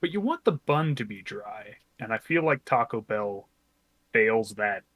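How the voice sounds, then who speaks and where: A man's voice: on the low side, lively intonation, measured pace, fairly bright tone, no room sound. A man from Ontario with a Canadian accent, giving matter-of-fact opinions in casual card game banter.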